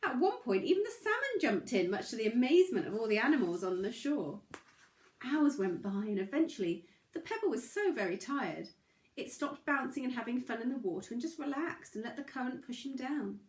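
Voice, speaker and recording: A woman tells a story with plenty of drama. Her voice is high and very lively, rising and falling expressively. The recording has a roomy echo. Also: a little fast. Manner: animated, dramatic. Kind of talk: expressive children's story reading. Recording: echoey room.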